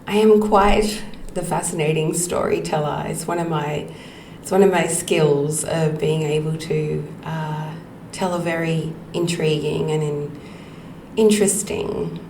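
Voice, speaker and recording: Woman podcast host, middle-aged, low gravelly voice, unhurried personal storytelling in an echoey room. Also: steady tone. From Australia. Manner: slow, reflective, with frequent ums and soft laughs. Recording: echoey room with faint background noise.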